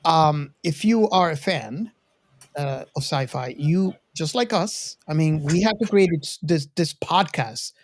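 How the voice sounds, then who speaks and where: A man addresses his podcast audience casually and earnestly. He speaks off the cuff in halting phrases, often doubling back on words. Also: on the high side, expressive. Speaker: podcast host. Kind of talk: casual, unscripted talk to the audience.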